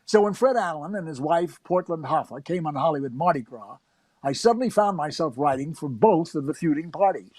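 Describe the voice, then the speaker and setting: A male narrator delivers scripted reminiscence. His voice is somewhat high, and the sound is very dry with no room around it. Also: animated, fairly quick, darkish tone, slightly rough, no echo. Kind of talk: scripted first-person narration.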